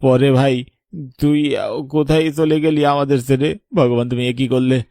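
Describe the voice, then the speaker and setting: A man's voice: mid-range voice, flat delivery, moderate pace, mellow, dry recording, clean studio sound, natural voice. A man playing a grieving, regretful elder brother in a village cartoon, lamenting and justifying himself melodramatically. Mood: anguished regret.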